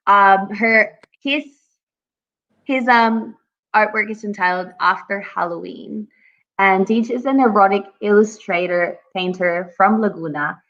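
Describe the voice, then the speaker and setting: A woman's voice: mid-range voice, a bit bright. Young woman reading art descriptions on a stream, muffled dull audio. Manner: measured reading, apologetic asides. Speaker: livestream co-host. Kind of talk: reading artist descriptions aloud.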